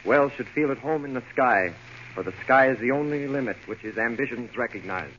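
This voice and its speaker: Sharp, emphatic vintage newsreel critic acted by a man. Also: medium pitch, a little fast, close to an everyday voice. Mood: declamatory. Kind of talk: dramatic newsreel reading. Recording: muffled, noisy old radio sound.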